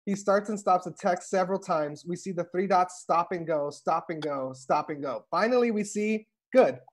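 Guy in his 30s, high voice, chatty table read narrator on earbuds. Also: normal speed. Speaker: host and stage-direction reader. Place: indoor room on a video call. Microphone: wired earbuds on a video call.

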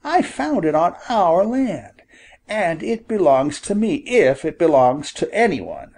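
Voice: mid-range voice, very animated, takes it slowly, darkish tone, voice pushed up, exaggerated voice. A reader voicing a young farm boy who fiercely scolds a hunter while protecting a wounded bird, in an audiobook. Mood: indignant. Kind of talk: audiobook character voice.

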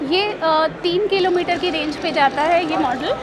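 A woman, explaining products as a company representative in an interview, with hesitant pauses. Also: very high-pitched, background noise. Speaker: company representative at a trade exhibition stall. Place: indoor trade fair booth. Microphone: clip-on lapel mic. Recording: busy exhibition hall crowd, background music. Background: crowd chatter and music.

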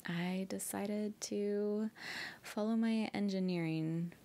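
Flustered young woman with a creaky voice, acted by a woman. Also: medium pitch, steady tone, takes it slowly, dark tone. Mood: shy, playful. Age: young adult. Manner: hesitant, giggly, often breathy asides. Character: shy, flustered young woman reuniting with old flame. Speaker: girlfriend roleplay audio performer. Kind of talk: intimate one-sided ASMR roleplay.

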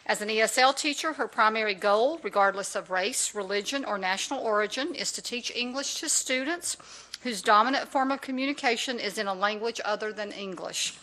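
A woman's voice: a little high, fairly quick, pushed and bright, rough recording. A woman reading a prepared formal introduction at a public board meeting into a desk microphone in a large room. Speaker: school official introducing a teacher.

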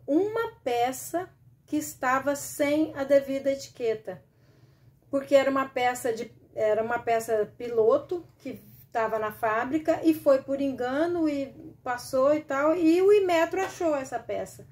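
A woman's voice: high-pitched, a little mellow. A middle-aged Brazilian woman hosting a casual sewing live stream from a small room at home, chatty and rambling with many restarts, talking into a close webcam. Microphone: webcam or laptop camera close up.

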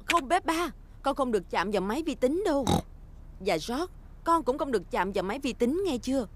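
A woman voicing a caring, gently firm mother pig talking expressively to small children in a cartoon dub. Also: high voice, lively intonation, brisk. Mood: firm, scolding. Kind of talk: Vietnamese-dubbed preschool cartoon acting. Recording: loud cartoon music behind.

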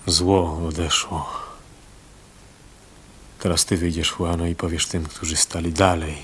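A resolute village fisherman in a radio drama. His low voice is even and solemn, and there is faint noise on the recording. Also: unhurried. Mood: solemn resolve. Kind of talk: Polish radio drama acting.

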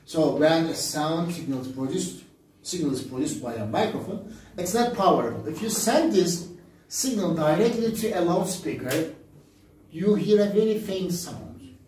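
A man's voice: fairly high pitch, expressive, normal speed, a little mellow, slight background noise. Older Turkish-accented man lecturing in echoey room. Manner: repetitive, step-by-step explaining in short phrases. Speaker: physics teacher. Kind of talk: classroom lecture explanation. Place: small indoor classroom at a smartboard. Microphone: camera several metres away. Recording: very echoey room, distant microphone.

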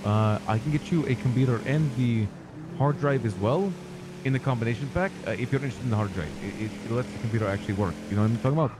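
A young man with an average-pitched voice, rattling off a sales pitch at speed. Clear background noise runs through the game audio. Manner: fast, salesman-like, swears casually. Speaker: game roleplayer selling computers. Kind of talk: casual gaming chat with friends.

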